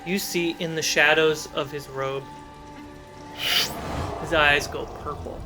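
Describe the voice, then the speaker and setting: A man's voice: fairly high pitch, fairly even intonation, takes it slowly. A man running a tabletop fantasy game as game master, narrating scenes and calling out results. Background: clear cinematic music.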